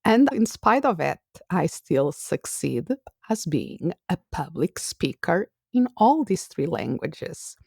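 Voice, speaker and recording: Woman, Portuguese accent, low voice, slow, reflective podcast host, dry close sound. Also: very animated, creaky, darkish tone, husky, no room sound. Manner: thoughtful, unhurried, with frequent uh fillers. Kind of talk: reflective solo podcast monologue.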